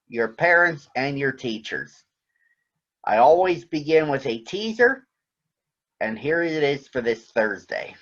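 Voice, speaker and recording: Man, mid-pitched, children's story reader, read-aloud style, dull lo-fi recording.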